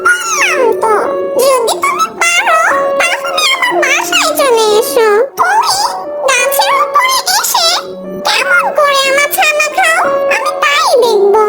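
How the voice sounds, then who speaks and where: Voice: very high-pitched, huge pitch swings, slow, full character voice. An actor voicing a small mother bird in a children's cartoon, indignant and protective of her chicks. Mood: defiant. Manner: indignant, pleading. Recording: loud music behind the voice.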